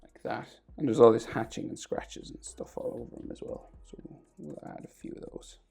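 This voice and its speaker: A man with a sore throat and a crackly, gravelly voice, talking casually through a drawing tutorial, often trailing off to a near whisper. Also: medium pitch, normal speed, a little mellow.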